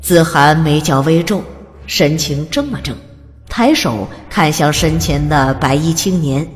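A woman narrating an audiobook in a calm, even storytelling manner. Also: low voice, measured pace. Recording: long reverb, soft music behind.

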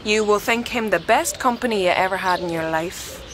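An actress playing a frank, self-assured young woman of the 1920s in a radio drama, brisk and teasing. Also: medium pitch, noisy background, natural voice. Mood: frank, mocking. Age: young adult.

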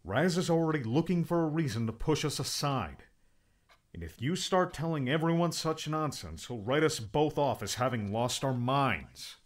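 Man acting a blunt, exasperated friend with lively pitch. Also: normal speed, lightly acted. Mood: stern warning. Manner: blunt, scolding, insistent. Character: stern, exasperated older friend of a grieving young man. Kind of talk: audiobook character acting.

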